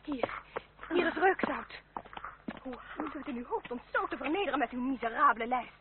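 High, animated young noblewoman. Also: brisk. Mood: indignant. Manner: theatrical, exclaiming, imperious. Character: spirited young noblewoman, bossy and quick to scold. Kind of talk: Dutch radio play acting. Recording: old noisy muffled radio play recording.

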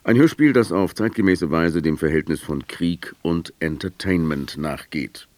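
A male radio announcer with a low-pitched voice reads scripted news. His delivery is formal, measured and factual, recorded close and dry in a studio. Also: darkish tone, no room sound.

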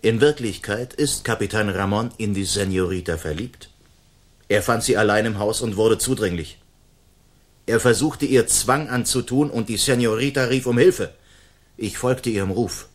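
A man acts out a gallant outlaw swordsman with a fairly low voice. He is proud and defiant, giving formal commands and threats in the manner of a radio play. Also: moderate pace, lightly acted. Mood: proud, earnest. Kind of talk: German radio play acting.

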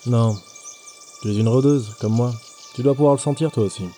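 An actor playing a brusque, guarded ranger who calmly instructs a novice in an audio drama. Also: male voice, mid-range voice, normal speed, soft background music, lightly acted. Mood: measured, explaining. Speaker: supporting character.

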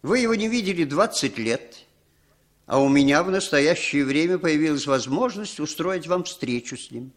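An actor playing an insistent, smooth-talking man who coaxes and persuades in a 1960s radio play. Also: fairly high pitch, expressive, moderate pace, close to an everyday voice. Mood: coaxing. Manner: coaxing, wheedling, emphatic.

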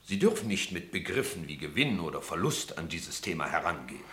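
An actor playing a solemn, lofty gentleman of an opera board, pompous and rhetorical, in a German radio play. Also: low-pitched, very animated, a little fast, heavily acted. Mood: solemn, reproachful. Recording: roomy studio echo.

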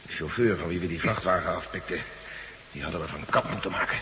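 Casting: grim fugitive for radio drama, fairly low, gruff and pessimistic; hissy recording. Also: male voice, fast, bright, forceful tone. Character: Stevens, gruff pessimistic male fugitive, hard-bitten. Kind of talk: Dutch radio drama acting. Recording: noisy old radio play recording.

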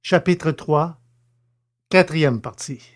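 A man's voice: a little high, takes it slowly. Man narrating a science fiction audio novel. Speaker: podcast host and narrator.

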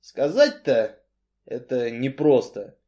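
A man voicing a brooding, weary strongman who speaks bluntly and emphatically in halting, colloquial phrases. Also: mid-range voice, sing-song, warm tone. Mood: reluctant.

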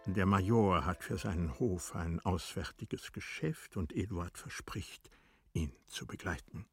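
A man narrating literary radio drama from a script, with deliberate, dramatic phrasing. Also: low voice, expressive, slightly rough, faint music behind.

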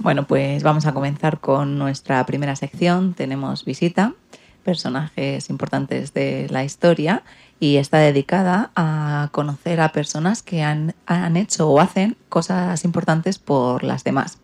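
Low-voiced woman presenting a radio show. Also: unhurried, vocal fry, a little mellow.

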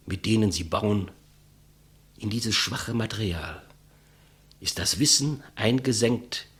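An actor playing a humble, gentle executioner in a 1950s German radio play, speaking with hushed reverence in a husky, breathy voice. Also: male voice, on the low side, full character voice. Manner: slow, reverent, deferential, hushed. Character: humble, gentle executioner, calm servant of the rulers.

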